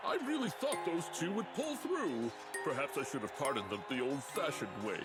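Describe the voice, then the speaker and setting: A man's voice: a little high, big pitch swings, moderate pace. A man playing a pompous, sentimental overlord in a video game, with flowery, melodramatic delivery. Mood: disappointed. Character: theatrical, pompous, sentimental male tournament overlord. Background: loud game music.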